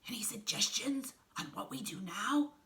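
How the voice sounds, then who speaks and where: A woman voicing an excitable, theatrical male wolf who leads a gang in a children's storybook. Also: on the high side, normal speed, vocal fry. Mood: hushed, puzzled. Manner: exclaiming, pleading, theatrical. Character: excitable male wolf gang leader, dramatic and easily dismayed.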